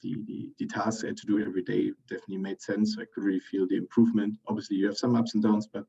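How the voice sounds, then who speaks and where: A young man from Germany, speaking as a guest in a casual interview, pausing often with fillers and restarts. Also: lowish voice, level tone, vocal fry, dark tone, lo-fi sound. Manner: hesitant, many fillers and restarts.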